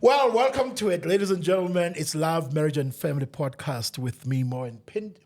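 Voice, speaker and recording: A man co-hosting a casual podcast, chatty and quick with interjections. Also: fairly high pitch, darkish tone. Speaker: podcast co-host.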